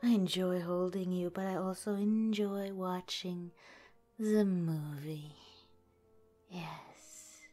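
A woman playing a gentle, teasing lamia girlfriend in an ASMR roleplay, speaking softly and breathily, often in a whisper. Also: fairly low pitch, fairly even intonation, takes it slowly, soft background music. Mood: affectionate, intrigued.

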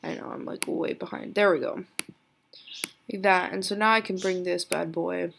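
A young woman giving a casual screen-recorded software tutorial, chatty and giggly, thinking aloud with a voice lower than usual today. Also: fairly even intonation, moderate pace, gravelly, a little hiss or hum. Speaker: tutorial creator.

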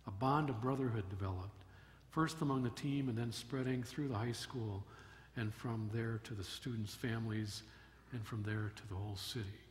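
An older man giving a sermon from a church pulpit, telling a story in a measured way with emphatic rises. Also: on the low side. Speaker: pastor. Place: church sanctuary at a wooden pulpit. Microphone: pulpit microphone. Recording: long church reverb, faint room noise.

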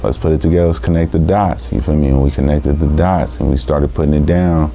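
A man in his thirties from San Francisco, a rapper being interviewed in a studio, talking in a slangy, laid-back street manner with a very deep voice. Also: fairly even intonation, fairly quick, mellow, slight background noise. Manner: slangy, laid-back street talk, constant "you feel me". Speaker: rapper guest. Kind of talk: casual interview talk. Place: small recording studio room.

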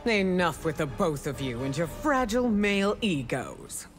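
A regal video game empress with a stern, commanding manner. The voice is low and lively in its swings, with loud music behind it. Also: female voice, normal speed. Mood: stern disdain. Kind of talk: video game fight intro dialogue.

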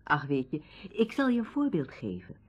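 A woman's voice: low-pitched, huge pitch swings, moderate pace, slightly rough, lo-fi sound, heavily acted. An actress playing a confident, capable woman who helps servants, in a Dutch radio drama. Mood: explaining, assured.

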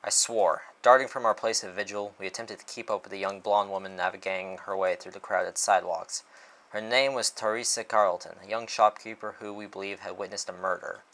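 Noir detective narrator, male a man acting him out: low register, weary, short-tempered, dramatic storybook reading. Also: steady tone, brisk, fairly bright tone. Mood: world-weary, wry.

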